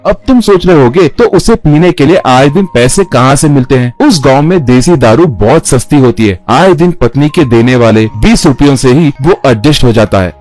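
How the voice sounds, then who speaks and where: A man's voice: mid-range voice, expressive, normal speed, hardly any pauses, a little mellow. A man narrating a scripted moral story as a storyteller. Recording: music under the voice. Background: background music.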